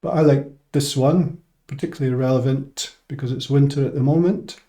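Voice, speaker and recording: A man's voice: mid-range voice, steady tone, a little fast, warm tone. A Scottish man who teaches English and works in science, giving an informal explanatory talk to viewers. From Scotland.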